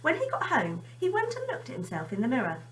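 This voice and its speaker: A woman's voice: high-pitched, huge pitch swings, fast, distant mic, a little hiss or hum. A woman teacher chatting informally to children and reading them a story expressively.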